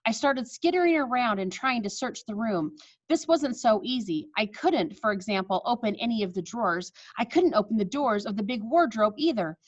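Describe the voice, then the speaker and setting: A woman teacher reading a storybook aloud to children, expressive and encouraging, over a dull video call. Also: a little high, normal speed. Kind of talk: storybook read-aloud with chat to pupils. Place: online video class.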